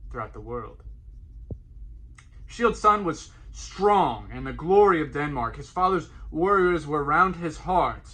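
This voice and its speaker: A man playing a theatrical, solemn bard who recites an epic tale to townsfolk in a grand, declamatory manner with dramatic pauses, in radio play style. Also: on the high side, bright, forceful tone, a little hiss or hum, exaggerated voice, low-quality recording.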